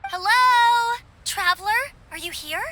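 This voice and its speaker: Cheerful young female messenger, high voice, piercingly bright, energetic, friendly, game cutscene. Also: takes it slowly, exaggerated voice. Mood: upbeat. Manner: upbeat, lively. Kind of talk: video game cutscene acting.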